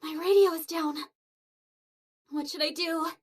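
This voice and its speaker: Anxious, very high-pitched girlish android soldier. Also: steady tone, measured pace, slight background noise. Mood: worried, self-talking. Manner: hesitant, stammering. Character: anxious young female android soldier, timid, easily flustered. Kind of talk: dramatic video game dub acting.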